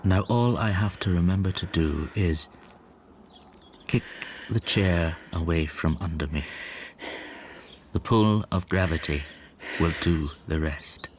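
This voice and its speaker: An actor playing a mild, lonely, wronged bookseller in despair, halting and introspective in a radio drama. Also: male voice, sits low, slight background noise. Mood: resigned, despairing. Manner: introspective, halting, talking to himself. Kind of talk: radio drama acting with interior monologue.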